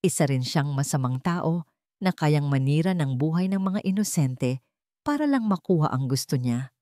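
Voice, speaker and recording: A woman with a Filipino accent voicing a determined male chief executive with calm, deliberate weight in an audio storybook drama. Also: sits low, no room sound, clean studio sound. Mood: grave, accusing.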